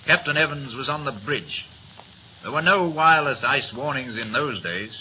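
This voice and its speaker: Steady old-radio quartermaster, a man acting, muffled sound. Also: mid-range voice, natural voice. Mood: grave storytelling. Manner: measured, deferential storyteller. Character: steady, respectful male quartermaster, secretly a disgraced captain. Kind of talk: 1930s radio play acting. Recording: muffled, noisy 1930s radio recording.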